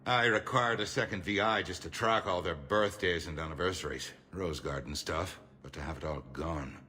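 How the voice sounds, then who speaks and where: Shrewd, defiant middle-aged statesman for a sci-fi game; forceful, sharp, oratorical delivery over background ambience. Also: deep voice, slight background noise. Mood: grim. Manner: measured, oratorical, emphatic. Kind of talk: cinematic game dialogue.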